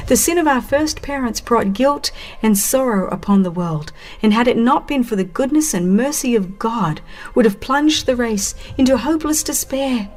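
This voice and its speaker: Slow, solemn woman narrator over music. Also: mid-range voice, a little mellow. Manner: solemn, measured, dramatic phrasing. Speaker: audiobook narrator. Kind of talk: dramatized scripted narration of a religious book.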